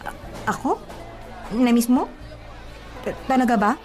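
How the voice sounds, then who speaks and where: Woman acting a flustered, high-voiced young housemaid. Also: animated, unhurried, darkish tone, faint music behind, a faint crowd. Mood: teasing, surprised. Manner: exclaiming, stammering when flustered. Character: flustered, chatty young housemaid with suitors, homesick for province. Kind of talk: radio-drama style acting.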